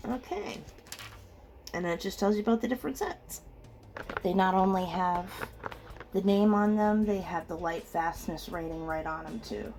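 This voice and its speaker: A woman with a slightly rough, under-the-weather voice, giving a chatty, casual unboxing commentary as host of an art channel. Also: mid-range voice, normal speed, a little mellow, faint music behind. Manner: chatty, reacts aloud with small exclamations. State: rough voice from being ill.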